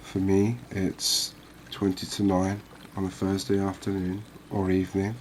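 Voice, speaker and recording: A man doing soft-spoken ASMR game commentary in an unhurried, calming, reassuring manner. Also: low voice, slight background noise. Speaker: host of a cozy gaming channel.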